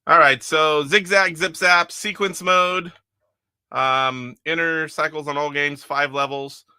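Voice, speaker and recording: A man's voice: on the high side, fairly even intonation, slow, pushed and bright, clean tone, no echo, clean studio sound. A middle-aged man hosting a casual live stream from his attic game room, rambling and chuckling as he plays and reads viewer comments aloud. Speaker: retro game streamer host.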